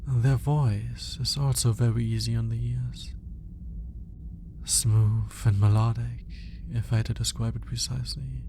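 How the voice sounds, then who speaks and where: A man playing a cold, condescending alien scientist in an ASMR roleplay, speaking in a clinical, formal, deliberate way. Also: medium pitch, little pitch movement, creaky, dark tone, slight background noise. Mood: clinical, intrigued. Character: cold, aloof male alien scientist studying a human captive.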